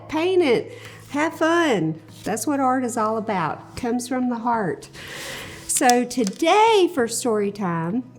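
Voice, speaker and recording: A woman reads aloud to children, expressive and encouraging, speaking directly to young listeners. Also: fairly high pitch. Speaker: children's book reader, show host. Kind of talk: animated read-aloud storytelling for children.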